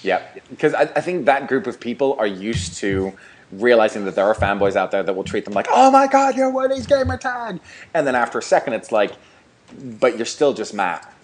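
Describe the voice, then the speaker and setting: A man's voice: medium pitch, big pitch swings, moderate pace. A man co-hosting a gaming podcast, talking casually in an informal, slangy way. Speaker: podcast co-host, gaming YouTuber. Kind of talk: casual chat.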